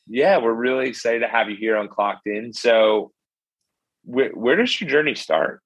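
A man's voice: mid-range voice, unhurried, fairly bright tone, no echo. A man hosting a podcast, greeting listeners and interviewing a guest in an upbeat, casual way. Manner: upbeat, casual, "what's going on guys". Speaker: podcast host.

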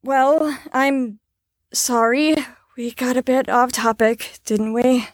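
Woman playing placating, high-voiced female group leader. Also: takes it slowly, no echo, clean studio sound. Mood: officious. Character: harried adult female group leader, placating, rule-bound. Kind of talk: audio drama acting.

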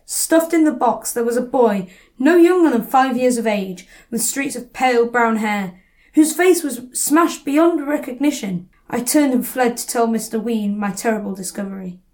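A woman's voice: fairly high pitch, normal speed, darkish tone, close to an everyday voice. A woman playing a nervous, hesitant lost property clerk with a stammer in an audio drama. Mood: horrified. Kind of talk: community audio drama acting.

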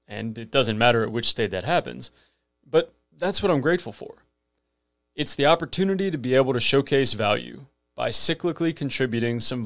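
A man's voice: mid-range voice, no echo, clean studio sound. Earnest millennial man hosting a podcast. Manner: earnest, rhetorical, emphatic lists. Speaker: podcast host. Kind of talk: scripted podcast monologue.

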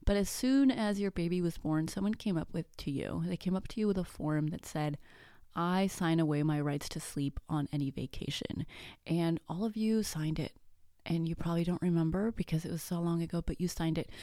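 A woman's voice: on the low side, a little fast, dark tone, no echo, clean studio sound. A woman in her thirties from Mexico whose first language is Spanish, hosting a casual solo podcast in a chatty, self-deprecating way with jokey asides. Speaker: podcast host.

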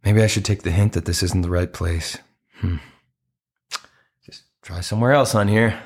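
A man's voice: low-pitched, fairly even intonation, normal speed. An actor playing an earnest, hopeful, slightly awkward man looking for love in a comedic audio play, speaking politely and hesitantly but persistently. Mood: sheepish, hopeful.

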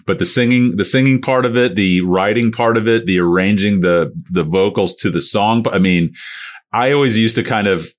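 A man's voice: lowish voice, normal speed. A middle-aged man hosting a casual interview, asking long rambling questions full of restarts and fillers. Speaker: podcast host.

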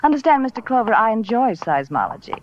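A woman's voice: fairly high pitch, very animated, fast. An actress playing a chatty young woman professor, coy, prim and playful, in a 1940s radio drama. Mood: friendly, coy. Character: chatty, bright-witted young female seismology professor. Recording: muffled, noisy 1940s radio recording.

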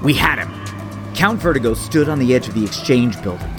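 A man playing a wry, lovestruck 38-year-old vigilante hero who narrates his story in a superhero audio drama, with a husky, self-deprecating delivery. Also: medium pitch, expressive, brisk, music in the background, lightly acted. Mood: wry, steady.

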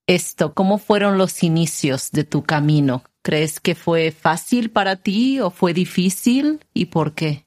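Unhurried woman with a lowish voice. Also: animated, a little mellow. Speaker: interviewer, conversation partner. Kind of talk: casual conversation.

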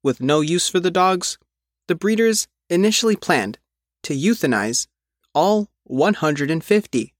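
A man reading a news article as its reporter, in measured, phrase-by-phrase scripted narration. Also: sits high, animated, dry recording, clean studio sound. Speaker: reporter reading their own written article.

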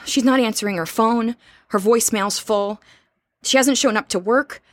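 A woman's voice: a little high, normal speed, dry recording, studio quality, lightly acted. An actress playing an anxious, insistent young woman with an urgent, direct manner in an audio drama. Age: young adult. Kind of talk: naturalistic audio drama acting.

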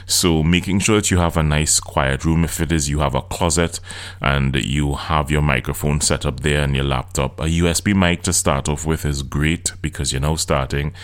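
A middle-aged host with a Trinidadian accent talks straight to his listeners on his own podcast. His voice sits extremely low, and he explains things in an earnest, coaching manner. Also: fairly even intonation, moderate pace, clean tone. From Trinidad and Tobago. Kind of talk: solo podcast talk.

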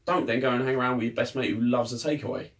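A man talks at a quick clip in relaxed podcast chat. He is emphatic and blunt, pressing his point with plain everyday examples, his voice at a middling pitch. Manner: fast, emphatic, advice-giving. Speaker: podcast co-host. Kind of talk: casual chat.

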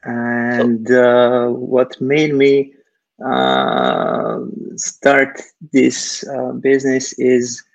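A man from Serbia, answering interview questions haltingly over a video call, filling pauses with lots of uh. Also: medium pitch, creaky, no room sound, dull audio. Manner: hesitant, many uh fillers. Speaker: guest, startup technical founder.

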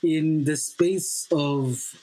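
A man whose first language is Swahili, a playwright guest on a podcast interview, earnest and halting with fillers, then building to emphatic repeated lines. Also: on the high side, fairly even intonation, dark tone.

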